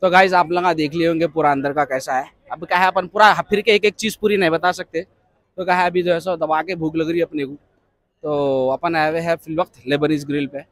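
A man with a Hyderabadi accent talks into a handheld mic at a crowded expo. His voice sits high for a man, and he jokes and teases in a loose, chatty way. He laughs often. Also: fairly even intonation, a bit bright, faint music behind. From Hyderabad, India. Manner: jokey, teasing, quick to laugh. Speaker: vlogger host. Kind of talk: casual vlog chat. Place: crowded indoor expo hall and outdoor food stall.

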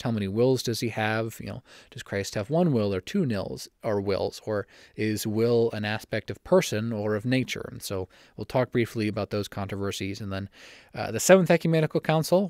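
A young man hosting a solo church-history podcast from home, slightly raspy and talking fast with frequent self-corrections. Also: fairly low pitch, a little mellow, dry recording, clean studio sound. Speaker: podcast host. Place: home room.

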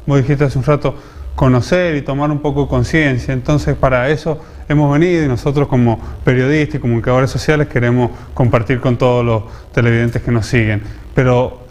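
A man's voice: mid-range voice, fairly even intonation, a little fast, darkish tone. A man in his thirties with an Argentine accent, hosting a panel talk show in a TV studio and steering the conversation. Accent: Argentine Spanish. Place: TV studio set. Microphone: lapel.

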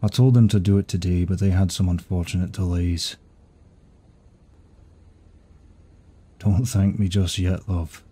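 A man playing a devoted, secretive CEO in an intimate audio roleplay, soft-spoken and husky, with sighs and pauses. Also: deep voice, fairly even intonation. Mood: tender, teasing. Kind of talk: intimate ASMR roleplay acting, one side of a dialogue.

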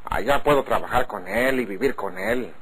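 A man playing an easygoing, chatty sharecropper with a rural northern Mexican accent and a gravelly voice, in a radio drama. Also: mid-range voice, sing-song, normal speed. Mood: folksy explaining. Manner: folksy, rambling. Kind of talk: old-time radio drama acting. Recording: faint noise, cattle, old radio serial.

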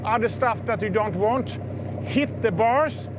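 Slow-talking Swedish fisherman, higher-pitched. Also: noisy background. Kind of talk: informal interview. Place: outdoors on a fishing boat at sea. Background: loud music, boat at sea.

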